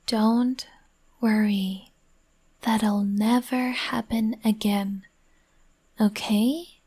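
A woman whispering softly and soothingly, speaking as a comforting partner in a roleplay. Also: on the high side, fairly even intonation, very slow. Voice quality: breathy.

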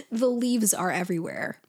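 A young woman in a soft, often whispered ASMR girlfriend roleplay, drowsy and close to the mic, her voice worn down as she loses it to a cold. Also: medium pitch, slightly rough. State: losing her voice, congested.

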